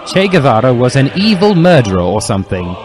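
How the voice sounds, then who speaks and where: A man's voice: medium pitch, big pitch swings, brisk, lightly acted. A man voicing a stern, smug female school professor in a comic dramatic reading. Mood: stern, scolding.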